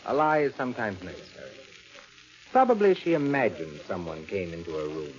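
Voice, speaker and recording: A man playing a calm, authoritative doctor, measured and reassuring, in 1940s radio style. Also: low voice. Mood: calm, clinical. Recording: muffled old radio recording.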